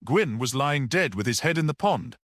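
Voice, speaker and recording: A man's voice: medium pitch, lively intonation, normal speed, fairly bright tone, dry recording, clean studio sound, close to an everyday voice. A man voicing a practical, sceptical police detective in an audiobook, with a challenging, questioning manner. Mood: startled, challenging. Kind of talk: dramatic audiobook character reading.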